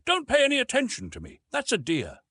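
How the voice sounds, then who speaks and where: A man's voice: very high-pitched, huge pitch swings, brisk, a bit bright, breathy edge, dry recording, studio quality, pitched above the performer's own voice, heavily acted. A man voicing an emotional, proud and easily wounded young woman in an audiobook, with an impassioned, haughty manner. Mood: irritable.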